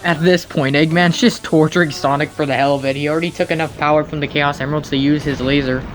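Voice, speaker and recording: A man's voice: fairly high pitch, fairly even intonation, quick pace. A man giving mocking, nitpicking commentary on game cutscenes in an incredulous, sarcastic manner. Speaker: video commentator.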